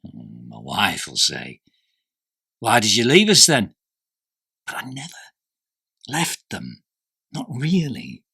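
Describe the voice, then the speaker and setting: A man's voice: on the low side, wildly swooping pitch, vocal fry, sharp tone, breathy edge, no room sound, clean studio sound, heavily acted. Troubled doubting disciple acted by a man, dramatically swooping delivery. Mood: bitter, torn. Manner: intense, deliberate, broken into short phrases. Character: troubled, doubting male disciple of an ancient saviour. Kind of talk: dramatic monologue of an ancient religious text.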